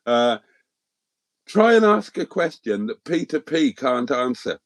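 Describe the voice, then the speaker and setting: Middle-aged man, from Wales, first language Welsh, livestream host, slow unhurried talk, dry close sound, rambling with lots of ums. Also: medium pitch, big pitch swings, dry recording. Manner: rambling, hesitant, many ums and restarts. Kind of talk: casual livestream chat answering viewers.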